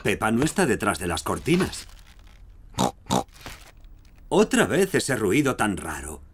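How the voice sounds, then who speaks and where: A man's voice: fairly low pitch, huge pitch swings, a little slow, noisy background. A man with a Castilian Spanish accent reading scripted narration for a children's cartoon. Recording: music behind the voice.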